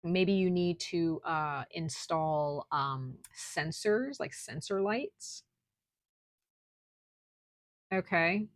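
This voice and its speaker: Soft-spoken woman with a gravelly low voice. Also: lively intonation, slow pace, no room sound, studio quality. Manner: speaks softly at times, lots of "like" and "okay?". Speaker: tarot reader. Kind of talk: casual spiritual reading to camera. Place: at home, noise outside.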